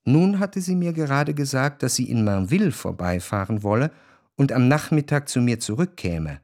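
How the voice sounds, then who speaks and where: A male actor as the reflective first-person narrator of a literary radio drama, speaking in a measured way. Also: mid-range voice, expressive, darkish tone, no echo, clean studio sound, lightly acted. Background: faint music.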